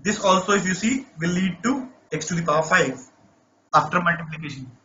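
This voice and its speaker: A man runs a live online math class in a high, sharply bright voice. He coaxes students along, checks in with them again and again, and sounds a little off-voice. The audio is dull and lo-fi, with faint noise behind him. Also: fairly quick. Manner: coaxing, repeats checks like "right?". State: voice not normal, says he lost his voice. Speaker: math teacher.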